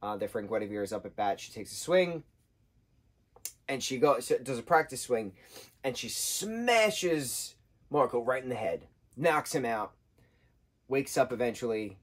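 Animated husky man reviewing a book. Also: mid-range voice, measured pace. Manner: animated, gestures a lot, acts out quotes in changing voices. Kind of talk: casual vlog book review. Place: indoor room, sofa with patterned throw.

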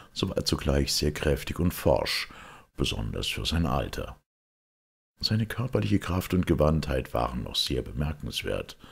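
A man reading a literary detective story aloud as an audiobook narrator, in a measured, expressive storytelling delivery with a gravelly voice. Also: a bass voice. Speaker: audiobook reader.

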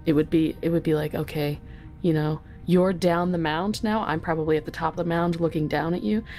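A woman's voice: low-pitched, fairly even intonation, fairly quick, darkish tone, faint music behind. A woman guest on a gaming podcast, casually improvising a monster's moves and explaining them as she thinks aloud. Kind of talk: casual tabletop game improvisation.

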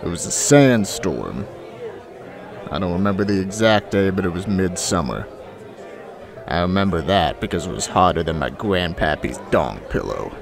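A man's voice: deep voice, lively intonation, normal speed, creaky, music in the background, crowd noise. A man playing a crude, hard-drinking space drifter who tells his story in a folksy, swaggering way for an audio drama. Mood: wry, laid-back. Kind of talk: audio drama acting with first-person narration.

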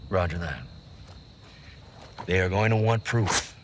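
An actor playing a brash, cocky military contractor commander in a video game. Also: male voice, low-pitched, slow, slight background noise. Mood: decisive.

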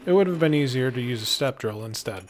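Male how-to narrator, brisk and matter-of-fact, mid pitch, some faint noise and clicks behind. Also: expressive. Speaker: DIY builder, host.

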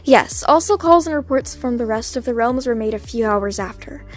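A woman playing a formal, courteous queen in an amateur animated movie. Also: on the high side, normal speed, soft background music, lightly acted.

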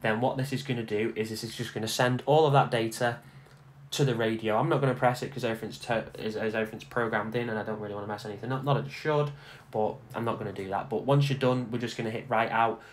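A man giving a casual, informal software tutorial, instructing step by step and repeating himself as he goes. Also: medium pitch, steady tone, fast. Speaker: tutorial host. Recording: clear background noise.

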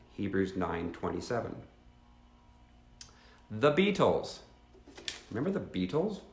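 A man's voice: fairly low pitch, gravelly, roomy sound. Earnest fifties man reading a teaching. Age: 50s. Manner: earnest, reads steadily with asides to viewers. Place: living room at home.

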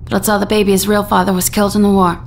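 An actress playing an earnest, fed-up 1950s bohemian housewife, speaking firmly in radio drama style. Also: female voice, little pitch movement, racing, creaky, lightly acted. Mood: defiant. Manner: firm, declarative. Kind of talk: retro radio drama acting. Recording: noisy with low rumble.

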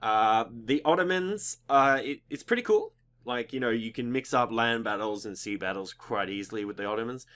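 A young man with a slightly croaky voice giving casual, rambling gaming commentary as a video host, informal and mildly sweary. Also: mid-range voice, normal speed, hardly any pauses, a bit bright.

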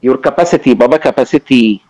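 A man who is not a native Indonesian speaker, speaking haltingly in a religious debate and mixing broken Indonesian with English. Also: medium pitch, a little mellow. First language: not Indonesian, still learning it. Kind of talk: informal religious debate on video call. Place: online video call.

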